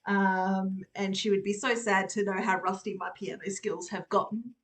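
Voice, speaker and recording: A woman's voice: mid-range voice, steady tone, moderate pace. Chatty Australian woman hosting a live stream. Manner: chatty, laughs often, many fillers. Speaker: host. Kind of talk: casual live-stream chat.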